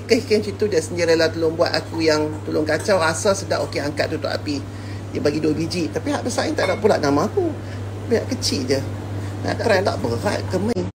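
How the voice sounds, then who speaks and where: A man, chatting casually through a home cooking vlog and rambling off to the viewers. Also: high-pitched. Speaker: home cook vlogger. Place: home kitchen. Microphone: phone camera held while cooking. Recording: noisy home phone recording.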